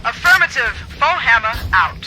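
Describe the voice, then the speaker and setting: A woman playing a brisk, gutsy military dropship pilot in a video game, talking in clipped radio phrases. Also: on the high side, sing-song, background noise. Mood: businesslike. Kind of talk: scripted video game acting.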